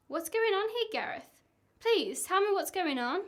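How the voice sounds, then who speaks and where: A woman's voice: very high-pitched, very animated, unhurried, fairly bright tone, full character voice. A young woman playing a suspicious, exasperated wife in an amateur radio play. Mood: alarmed, demanding. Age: young adult.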